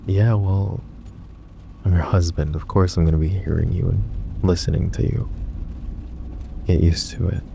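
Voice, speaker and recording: Guy acting as low gravelly mafia husband, mellow and wry, protective boyfriend asmr roleplay. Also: fairly even intonation, moderate pace, long pauses, background noise. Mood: gruff, affectionate. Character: possessive, protective young crime-family husband, dry and teasing.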